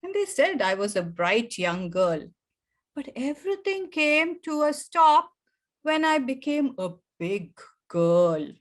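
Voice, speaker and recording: Slow, high-voiced older woman storyteller. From India. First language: Tamil. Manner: expressive, with wide swings in pitch and loudness. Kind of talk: personal story performed for an audience.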